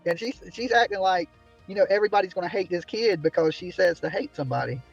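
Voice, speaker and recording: A man's voice: sits high, fast talker, vocal fry, dull audio, soft background music. A man ranting indignantly on a livestream from a small room, repeatedly asking "right?" as he complains. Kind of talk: heated livestream commentary.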